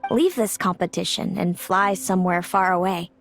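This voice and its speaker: A woman voicing a proud, fatalistic older sister in a video game, measured and grave. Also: fairly low pitch, fairly even intonation, creaky, faint music behind. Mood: confident. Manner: measured, grave, speaks of fate with cool confidence.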